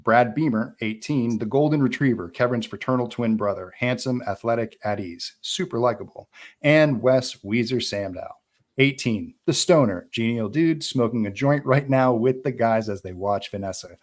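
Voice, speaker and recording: A man's voice: mid-range voice, moderate pace. A man reading out screenplay stage directions as narrator at a live table read.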